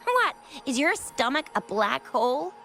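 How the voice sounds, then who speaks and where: An earnest young game companion with a teasing edge. Her voice is high and lively, jumping up and down. Soft game music plays behind. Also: measured pace, creaky, heavily acted. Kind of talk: video game cutscene voice acting. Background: faint game music.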